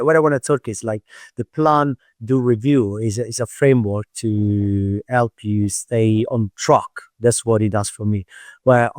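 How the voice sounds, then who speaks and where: A man's voice: medium pitch, dry recording, studio quality. A man speaking as a guest coach in a podcast interview, thoughtful and searching for his words with frequent hesitations. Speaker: performance mental coach, guest. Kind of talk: conversational interview.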